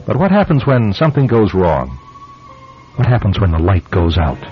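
A man hosting and narrating a scripted radio drama in a dramatic, deliberate storytelling manner. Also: lowish voice, sing-song. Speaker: host and narrator. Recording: muffled old radio broadcast, faint music.

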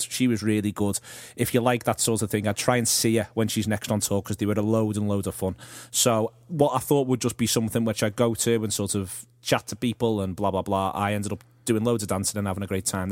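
A man's voice: lowish voice, dry recording. A man with a Liverpool accent hosting a podcast, telling stories in a wry, talkative way and introducing songs. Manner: quick, wry, talkative storyteller. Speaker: podcast host. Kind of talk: casual chat and music introductions.

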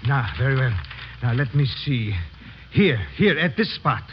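A man's voice: medium pitch, expressive, unhurried, close to an everyday voice. A man playing a polite, earnest police lieutenant in an old-time radio drama, speaking formally and precisely. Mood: puzzled, attentive. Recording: muffled, noisy old radio recording.

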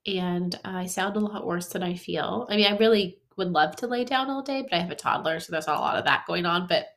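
A woman's voice: on the low side, fairly quick, a bit bright. A woman hosting a solo podcast who sounds nasal and stuffed up from a head cold. She talks in a chatty, upbeat way, coaching her listeners directly with casual fillers and restarts. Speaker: podcast host.

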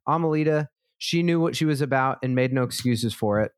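A man's voice: mid-range voice, fairly quick, smooth, dry recording. Dry-voiced man reading listener replies. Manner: relaxed, swears casually, reads out listener responses. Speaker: podcast co-host. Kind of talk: casual chat. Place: lives in a big city.

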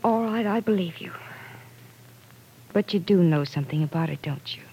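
A persistent, husky-voiced radio-drama woman. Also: fairly low pitch, lively intonation, dark tone, poor audio. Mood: insistent. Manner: firm, measured, probing. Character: persistent, direct, earnest working woman questioning a friend. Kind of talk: old-time radio drama acting.

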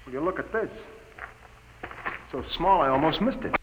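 An actor playing an excitable, dim but loyal sidekick, slangy and ungrammatical, in old-time radio drama style. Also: male voice, fairly high pitch, normal speed, natural voice. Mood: puzzled. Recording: noisy old radio broadcast, clicks.

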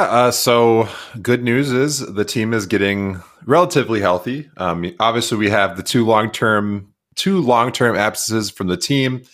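Deep voiced podcast dude, laid back, laughs a lot, talks sports. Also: male voice, fairly bright tone. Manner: easygoing and laughs often. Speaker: podcast co-host. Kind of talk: casual sports podcast chat.

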